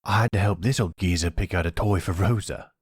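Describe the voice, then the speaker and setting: Guy doing breezy teasing family friend for roleplay audio, low husky voice. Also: brisk, no room sound, clean studio sound. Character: breezy adult male family friend who loves kids.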